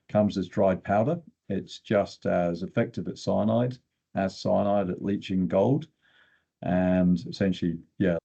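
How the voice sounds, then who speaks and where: Very deep, gravelly older man, slightly dull audio. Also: mellow. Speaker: guest expert in exploration geochemistry. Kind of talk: technical interview explanation.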